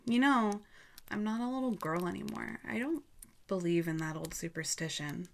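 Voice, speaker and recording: A woman's voice: medium pitch, normal speed, creaky, lightly acted. A woman playing an anxious, stubborn farm schoolgirl in a horror radio drama, speaking hesitantly. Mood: subdued.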